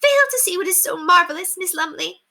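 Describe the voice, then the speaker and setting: A snappish, imperious noblewoman on the edge of hysterics, as a woman reader acts her out. Her voice shoots very high and swings widely, with a bright, piercing edge, in storybook style. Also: animated, fairly quick, gravelly, exaggerated voice. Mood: indignant. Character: haughty, hysterical aristocratic lady, snappish and imperious. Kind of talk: children's storybook read aloud.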